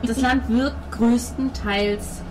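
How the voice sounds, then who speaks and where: A woman's voice: on the high side, steady tone, slow. A woman narrating a tabletop role-playing game as its game master. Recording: noisy home table recording.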